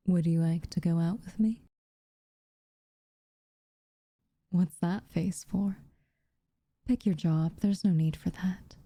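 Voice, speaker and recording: A woman playing an aloof, teasing but secretly tender witch in a soft, intimate ASMR roleplay, with a breathy, half-whispered delivery and dramatic pauses. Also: lowish voice, dry recording, studio quality. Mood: coaxing, teasing.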